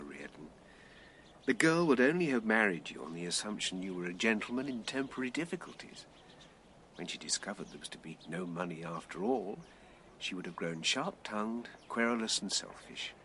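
Sceptical Victorian literary man, dry and formal, animated low voice, radio drama. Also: normal speed, a little hiss or hum. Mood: frank, chiding. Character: frank, sceptical, poor Victorian man of letters. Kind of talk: BBC radio drama acting.

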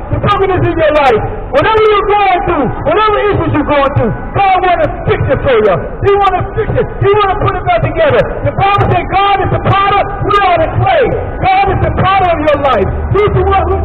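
A man with an American accent preaching an impassioned, emphatic street sermon, repeating his phrases over and over. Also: extremely high pitch. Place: outdoors on a busy city plaza by a street. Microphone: handheld microphone through a portable speaker, heard from a distant camera. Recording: distant, dull outdoor recording with street and crowd noise.

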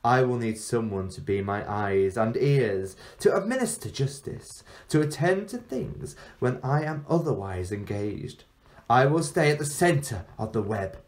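A man's voice: mid-range voice, very animated, a little slow, a little mellow. A man reading a storybook, voicing an ancient enchantress who looks like a young girl, imperious and falsely innocent, drawing out her words. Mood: commanding.

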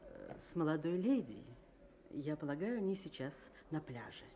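An actress playing a courteous, helpful hotel receptionist in a radio drama. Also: female voice, low voice, big pitch swings, normal speed, mellow, slight background noise.

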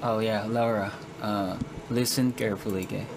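A man in his twenties from the Philippines chatting with strangers on video and singing slow ballads to them. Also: lowish voice, fairly even intonation, rough recording. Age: 20s. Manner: sings slow ballads to guitar, then flirts with quick questions. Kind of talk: casual video chat with sung serenades. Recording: loud guitar music behind the voice.

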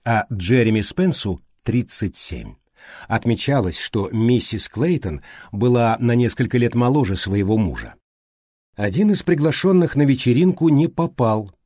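A man reading a mystery audiobook as scripted narration, with measured, clearly phrased delivery. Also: medium pitch, a little mellow, no room sound, studio quality.

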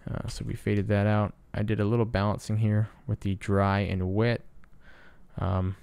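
Low, creaky man giving a tutorial. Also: flat delivery, normal speed, a little mellow, no echo. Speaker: sound designer, tutorial presenter. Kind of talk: casual screen-recorded tutorial narration.

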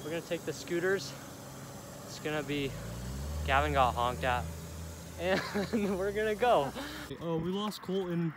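A young man vlogging casually on a phone held at arm's length, joking and chattering quickly. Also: on the high side, background noise. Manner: goofy, jokey, hurried chatter. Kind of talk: casual vlog. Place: house rooms and outdoors at night.

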